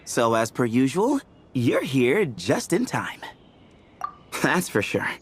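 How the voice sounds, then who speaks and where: A man's voice: medium pitch, huge pitch swings, slow, husky, full character voice. A man voicing a laid-back, teasing young temple leader who chuckles and jokes in game cutscene dialogue. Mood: easygoing.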